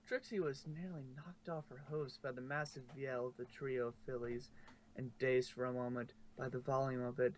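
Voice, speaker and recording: A man's voice: mid-range voice, fairly even intonation, moderate pace, slight background noise, rough recording. A man reading scripted fan fiction narration, sometimes stumbling and correcting himself.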